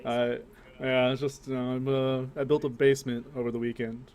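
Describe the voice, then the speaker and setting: A man's voice: medium pitch, level tone, normal speed, darkish tone, clear, resonant tone. A man hosting a podcast, talking in casual group banter with a crude, profane sense of humor. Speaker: podcast host.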